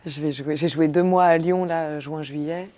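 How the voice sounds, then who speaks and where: Chatty young woman, lowish voice. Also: fairly even intonation, normal speed, background noise. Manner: chatty, hesitant, many fillers and restarts. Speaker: comedian, interview guest. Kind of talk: casual interview chat.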